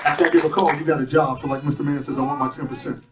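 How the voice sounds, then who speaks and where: A man's voice: on the high side, steady tone, racing, heavy background noise. Joking man emceeing a graduation. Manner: joking, self-correcting, then formal. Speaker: chef instructor. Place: event hall. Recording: echoey room from far off, audience behind.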